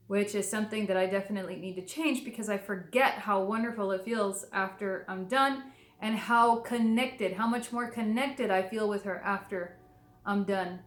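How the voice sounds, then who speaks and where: A woman's voice: medium pitch, normal speed, roomy sound. A woman talking casually to camera, chatty and rambling, full of fillers and restarts. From southern Texas near the Mexican border. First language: English. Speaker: devotional video creator.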